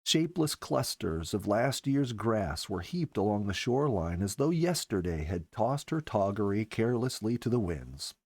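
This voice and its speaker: A man reading a story as a scripted narration, in an expressive storyteller's manner. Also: on the low side, normal speed, few pauses, no echo. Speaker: storyteller, show regular.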